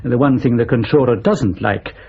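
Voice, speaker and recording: Dry, measured spy section chief played by a man. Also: medium pitch, lightly acted. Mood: wry, measured. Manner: formal, wry understatement. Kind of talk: spy radio drama acting. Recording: dull lo-fi audio, faint noise.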